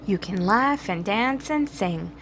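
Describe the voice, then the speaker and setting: A woman's voice: mid-range voice, lively intonation, slow, fairly bright tone, a little hiss or hum, low-quality recording. A woman voicing a busy, sensible young male pig in a storybook, earnest and firm in manner. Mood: warning.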